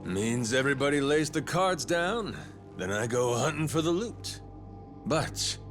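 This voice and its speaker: A man playing a menacing, playful yakuza pirate captain in a video game dub, with a theatrical, folksy, taunting manner. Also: medium pitch. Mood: commanding. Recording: music behind the voice.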